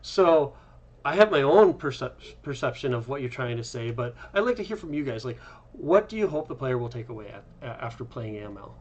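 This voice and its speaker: A man's voice: mid-range voice, sing-song, a little fast, darkish tone. A man hosting a casual interview chat. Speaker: host. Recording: dull, lo-fi call audio.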